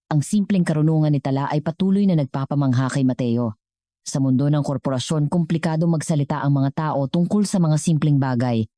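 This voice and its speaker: A woman's voice: low voice, dark tone, dry recording, studio quality. A woman with a Filipino accent narrating a scripted story as a storyteller.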